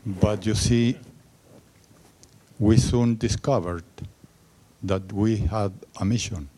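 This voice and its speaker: An older man from Chile, speaking on a church panel in a measured way. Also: on the low side, warm tone, slightly rough. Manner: slow and measured, with small restarts. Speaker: retired church administrator, panelist.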